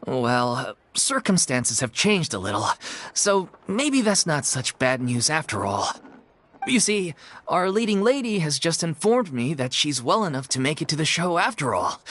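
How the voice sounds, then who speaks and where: A man's voice: on the high side, big pitch swings, normal speed, fairly bright tone. An actor playing an earnest, polite male theatre troupe leader in an anime-style video game. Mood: polite, relieved.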